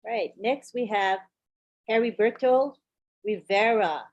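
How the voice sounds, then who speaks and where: Dull lo-fi sound, woman, medium pitch, host, short introductions and thanks. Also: takes it slowly, darkish tone. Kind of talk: brief hosting of an online meeting. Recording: dull lo-fi call audio.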